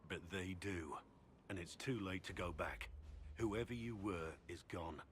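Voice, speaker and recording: Deep-voiced, solemn soldier. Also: male voice, unhurried, a little hiss or hum. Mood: solemn, pained. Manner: solemn, resolute, formal. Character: stoic, duty-bound male soldier, fond but guarded. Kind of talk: dramatic game cutscene acting.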